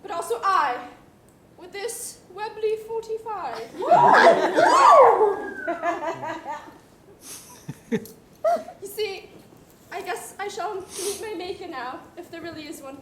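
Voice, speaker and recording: A woman's voice: very high voice, sing-song, very slow, pauses often, fairly bright tone, full character voice. A young woman on stage playing a melodramatic society lady in a murder farce, speaking in an arch, declamatory way. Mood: grand confession. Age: young adult. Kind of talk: comedic student stage acting. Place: theatre stage. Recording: echoey stage, audience, faint noise.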